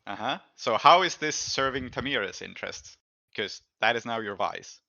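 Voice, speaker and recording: A man's voice: medium pitch, animated, normal speed, creaky, bright, forceful tone, dry recording, highs cut off. A man improvising narration as the game master of a tabletop role-playing game.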